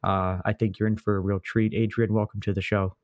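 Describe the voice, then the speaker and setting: A man from New England hosting an interview podcast, asking questions and giving quick affirmations in a conversational way. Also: on the low side, level tone, dry recording. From New England, USA. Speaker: podcast host. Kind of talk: casual interview.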